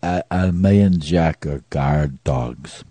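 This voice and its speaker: An actor playing a flustered talking guard dog in a comic radio play, stammering and fretful. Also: male voice, extremely low pitch, slow pace, mellow, dry recording, full character voice. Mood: wary.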